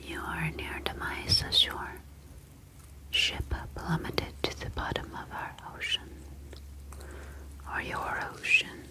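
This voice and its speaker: A woman playing a calm, formal humanoid alien scientist in an ASMR roleplay, speaking in soft, breathy whispers with measured, deliberate phrasing. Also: close to an everyday voice. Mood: calm, explanatory.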